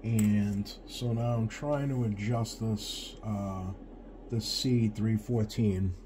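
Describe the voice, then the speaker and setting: Man, deep-leaning pitch, soft mellow tone, hesitant casual demonstrator, frequent pauses. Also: steady tone, slight background noise. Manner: halting, hesitant, pausing often while working. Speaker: radio repair hobbyist presenting a bench video. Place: indoors at a workbench.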